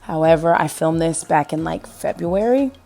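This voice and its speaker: A woman in her thirties with a hoarse, gravelly voice from being sick, chatting casually over her home decorating video and asking viewers what they think. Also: low voice, expressive, moderate pace, dark tone. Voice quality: gravelly, husky. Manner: chatty, rambling, asks viewers questions. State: hoarse from illness.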